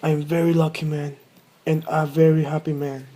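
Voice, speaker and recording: A man's voice: on the high side, flat delivery, moderate pace, crowd noise, natural voice. A man playing a forgetful, grumpy yet doting old grandfather in a radio play, muttering and repeating himself. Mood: fond.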